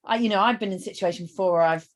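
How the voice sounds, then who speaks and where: A woman's voice: mid-range voice, rapid-fire, a little hiss or hum. A woman hosting a webinar, encouraging her guest and reflecting on accents, with frequent affirmations. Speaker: webinar host. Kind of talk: host listening and responding. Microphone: webinar call mic.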